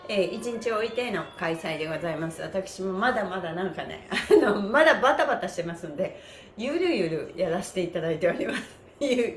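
A woman leading a live stretching class, cheerful and encouraging, chatting with viewers and chanting counts. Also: medium pitch. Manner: cheerful, encouraging, often laughing. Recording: roomy echo, faint background noise.

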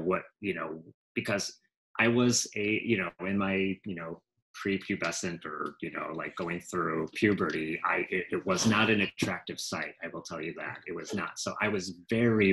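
Deep-voiced man rambling on a video call. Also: fairly bright tone, poor audio. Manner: rambling, self-correcting, frequent asides. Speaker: guest actor. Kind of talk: casual interview. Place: home room with bookshelves. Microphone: headset mic on a video call.

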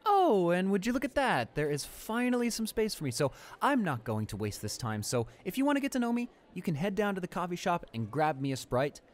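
A young man playing an arrogant, irritable student bully in an improvised audio roleplay, with a smug, dismissive manner. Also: a little high. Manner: smug, dismissive, muttering to himself.

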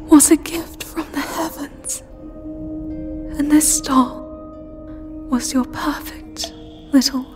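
A woman voicing a jealous, heartbroken living doll in a dramatic ASMR roleplay, breathy and halting, pleading through tears. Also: high voice, a little mellow, voice pushed up. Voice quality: breathy, often whispering.

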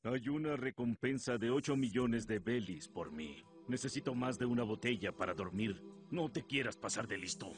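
A man's voice: medium pitch, animated, moderate pace, lightly acted. A man playing an arrogant, menacing mountain bandit chief in anime-style acting, with music behind him. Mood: contemptuous.

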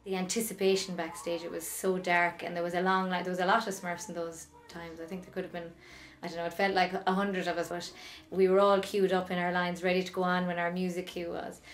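A woman's voice: lowish voice, flat delivery, brisk, faint music behind. A woman recalling childhood memories in a conversational interview. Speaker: former child pantomime performer. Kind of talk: interview recollection.

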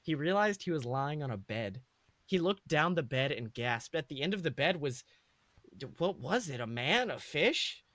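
Higher-pitched man reading a story aloud. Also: very animated, normal speed, fairly bright tone, no echo. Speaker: podcast host reading fan fiction aloud. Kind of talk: comedic story narration.

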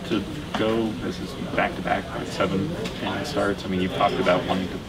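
Male sports reporter, very low voice, conversational questioning, slightly distant from the mic. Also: level tone, a little fast, very noisy. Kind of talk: casual postgame questioning. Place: team locker room, indoors. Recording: off-mic, loud locker room chatter.

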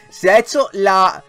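A man with an accent from Spain giving casual, excitable gaming commentary, repeating his phrases and swearing freely. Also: sits high. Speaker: gaming YouTuber, host. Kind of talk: casual, excitable Pokémon let's play commentary. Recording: game music behind voice. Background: video game music.

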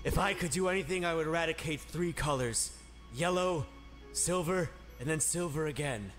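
A man's voice: fairly high pitch, normal speed, a bit bright, soft background music, lightly acted. A man voicing a goofy, petty male game villain in an improvised fandub, rambling sarcastically and breaking into squeals. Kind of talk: improvised real-time fandub.

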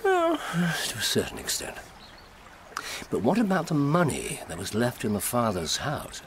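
An actor with an English accent playing a shrewd, confident middle-aged gentleman detective in a radio drama, urbane and commanding. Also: mid-range voice, wildly swooping pitch, unhurried, slight background noise. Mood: assured, cryptic. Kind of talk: BBC radio drama acting.

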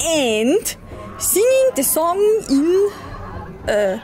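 A woman playing a merry, tipsy party game leader in an audio drama, announcing playfully and haltingly. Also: very high-pitched, very animated, over music, exaggerated voice.

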